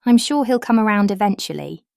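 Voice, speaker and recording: Gravelly-voiced woman reading examples. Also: medium pitch, expressive, brisk, darkish tone, no room sound, clean studio sound. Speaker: reader of example sentences. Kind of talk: slow, clear example sentence reading.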